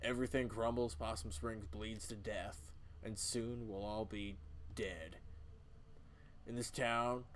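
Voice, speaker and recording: A man's voice: on the low side, fairly even intonation, takes it slowly, slight background noise. A man voicing a weary, brooding old small-town cult leader in a dramatic video game reading. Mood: grim, brooding. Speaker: video game player voicing all characters.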